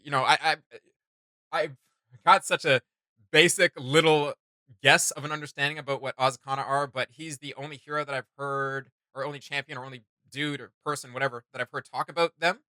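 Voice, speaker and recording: A man's voice: fairly high pitch, steady tone, brisk, bright, forceful tone, no room sound. A man hosting a reaction video, chatting casually about game lore in an emphatic, rambling, questioning way. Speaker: reaction channel host. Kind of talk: casual chat, discussing game character lore.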